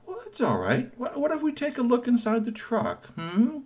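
A suspicious police officer, as a man puts him on, asks slow, probing questions. He sounds stern and unhurried, with a lift at the end of each line. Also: very high-pitched, huge pitch swings, voice pushed up, heavily acted.

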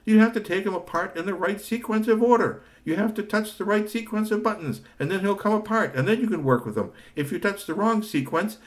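A man's voice: high voice, fairly quick, hardly any pauses, pitched above the performer's own voice, close to an everyday voice. A man voicing a proud, hot-tempered male robot inventor who talks fussily and repeats himself in bursts. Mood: indignant. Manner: fussy, boastful, repeats words in bursts.

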